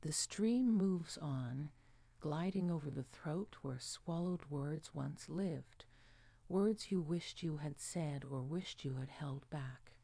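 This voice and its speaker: Slow, gravelly-voiced woman guiding sleep. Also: sits low, no echo. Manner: soft, soothing, hushed delivery. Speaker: meditation guide. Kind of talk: guided sleep hypnosis narration.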